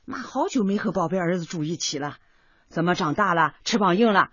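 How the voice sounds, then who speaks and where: A woman's voice: lowish voice, lively intonation, a little fast, fairly bright tone, husky, full character voice. An actress playing a persistent, doting middle-aged mother, fussy and eager, in a radio drama. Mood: doting, bossy. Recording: slightly dull audio, music behind.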